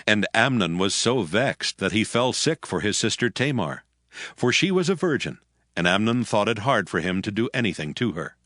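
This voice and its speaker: A man narrating scripture in a steady, solemn reading for a dramatized audio presentation. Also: on the low side, moderate pace, no room sound, studio quality.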